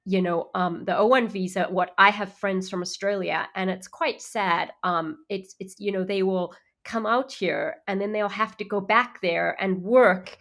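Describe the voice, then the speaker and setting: A woman's voice: medium pitch, fairly even intonation, measured pace, few pauses, fairly bright tone. A woman from Zimbabwe who speaks with an American accent that carries faint Zimbabwean traces, chatting as an actor guest in an interview, full of fillers and restarts. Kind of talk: casual interview chat.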